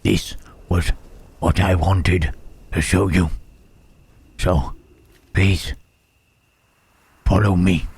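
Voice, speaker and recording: Man acting wary gentle creature, very slow, low-pitched, hushed, halting, old-fashioned wording, intimate ASMR roleplay. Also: level tone, gravelly, slightly rough, full character voice. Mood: hushed urgency. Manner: halting, formal archaic phrasing, questioning "huh".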